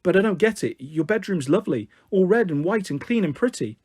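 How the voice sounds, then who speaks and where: A man's voice: sits high, expressive, a little fast, a little mellow, slightly rough, no room sound, studio quality, pitched above the performer's own voice. A man voicing a blunt, disdainful young girl in an audiobook story. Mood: baffled, disdainful. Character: blunt, snooty, pitying young girl, the best friend. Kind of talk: audiobook character dialogue.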